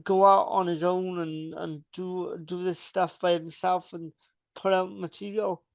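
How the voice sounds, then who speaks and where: A young man co-hosting a metal podcast, his speech rambling and full of restarts. His voice sits a bit high and carries a gravelly, smooth edge. The sound is dry and dull, like a lo-fi podcast mic. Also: flat delivery, a little slow, dry recording. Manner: rambling, many fillers and restarts. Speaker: podcast co-host. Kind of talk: casual chat.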